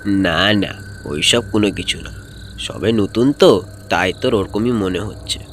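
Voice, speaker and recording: Guy doing older village uncle in a cartoon, kinda low, brushes stuff off, bit of noise. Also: measured pace, lightly acted. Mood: reassuring, dismissive. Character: reassuring older village uncle, dismissive of ghost fears. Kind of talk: cartoon story acting. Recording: faint background noise.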